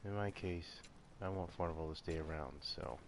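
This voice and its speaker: A man's voice: low-pitched, normal speed, creaky, background noise. A man hosting a gaming channel, giving casual commentary over gameplay.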